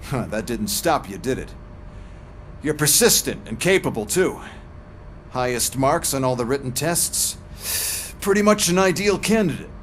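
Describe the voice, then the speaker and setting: An actor playing a manipulative, smug police boss in a video game, with a sardonic, condescending manner. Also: male voice, mid-range voice, expressive, unhurried, a little hiss or hum, lightly acted. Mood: smug, coaxing. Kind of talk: scripted video game acting.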